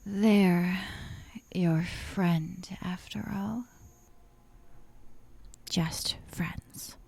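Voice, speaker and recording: A woman voicing a possessive, dominant yandere captor in an ASMR roleplay, breathy and often whispering, sweetly menacing and coy, close to the microphone. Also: fairly low pitch, fairly even intonation, a little mellow, heavily acted. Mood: dismissive. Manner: slow, intimate, teasing, coy.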